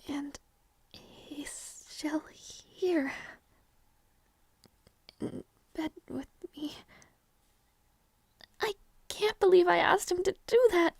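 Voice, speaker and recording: A high, whispery young princess, played by a woman, frail and gentle in a romantic fantasy roleplay. She speaks extremely slowly and formally, with hesitant pauses and a creaky edge to her soft voice. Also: dry recording, exaggerated voice. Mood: groggy, self-doubting. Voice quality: breathy, whispery. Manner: slow, hesitant, softly formal. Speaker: voice actor in audio roleplay.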